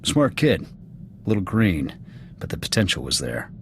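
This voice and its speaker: A man playing a gruff veteran male sniper officer speaking in clipped, matter-of-fact reports in a video game. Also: low-pitched, sing-song, unhurried, slight background noise, full character voice. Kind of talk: video game cutscene acting.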